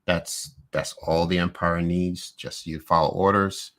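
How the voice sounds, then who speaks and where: A low-pitched man co-hosting a relaxed live-stream recap. He talks loosely and animatedly, restarting sentences and leaning on "you know" as he walks through each scene. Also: measured pace. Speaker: podcast co-host. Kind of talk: casual live-stream chat recapping an episode.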